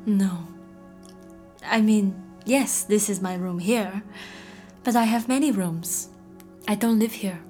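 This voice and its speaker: An actress playing an enigmatic, playful, self-possessed hostess with a teasing, cryptic manner in an intimate audio drama. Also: female voice, mid-range voice, slow, pauses often, dark tone, faint music behind, lightly acted. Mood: mysterious, teasing. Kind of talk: intimate audio fiction acting.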